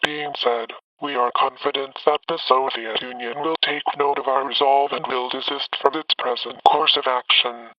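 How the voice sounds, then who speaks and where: A man's voice: medium pitch, steady tone, normal speed, few pauses, no room sound, distant mic, lightly acted. A synthetic man's voice playing a grave American president giving a formal, stilted address to the nation. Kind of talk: formal scripted political address. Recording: dull lo-fi radio-like broadcast.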